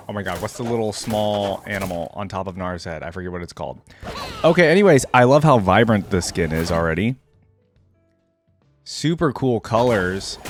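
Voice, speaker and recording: Man with very animated reaction commentary. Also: on the low side. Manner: easily amused, thinks out loud, exclaims with surprise. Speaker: host of a gaming reaction video. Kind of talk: casual off-the-cuff reaction commentary.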